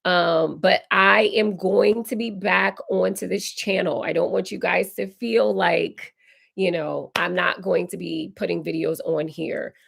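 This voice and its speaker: A woman in her forties, hoarse and raspy while recovering from illness, hosting a casual live Q&A and answering viewer comments. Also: on the low side, steady tone, unhurried. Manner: chatty, reads viewer comments aloud and answers warmly. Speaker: decor channel host. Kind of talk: live stream Q&A chat.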